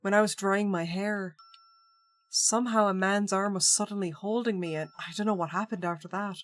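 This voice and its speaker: A woman reading a visual novel aloud, voicing a confused, frightened young woman in a soft, hushed, slow way. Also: mid-range voice, fairly even intonation, dry recording, close to an everyday voice.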